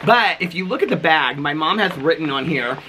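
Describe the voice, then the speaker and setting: Male vlogger, sharp bright tone, chatty and gushing, loose rambling delivery, slight background noise. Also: fairly high pitch, lively intonation, brisk, low-quality recording. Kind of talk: casual, chatty vlog talk to viewers.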